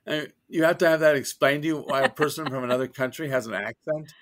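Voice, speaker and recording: A man's voice: on the high side, fast talker, fairly bright tone. A man, a guest author, chatting in an interview and telling wry, joking stories with many restarts. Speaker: guest, science fiction author. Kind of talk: casual interview chat.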